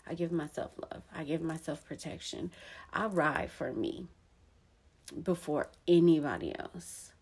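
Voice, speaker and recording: A woman in her thirties with a husky voice, giving earnest, encouraging advice from her own experience in a casual personal talk. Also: deep voice, measured pace. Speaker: vlogger sharing her story.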